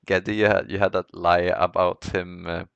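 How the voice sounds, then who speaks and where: Low, gravelly man in relaxed podcast chat. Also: moderate pace, dry recording, muffled recording. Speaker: podcast co-host.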